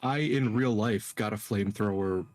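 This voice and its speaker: Low-voiced young man, halting chat. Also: no room sound. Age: 20s. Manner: halting, repeats words. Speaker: player. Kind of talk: casual chat.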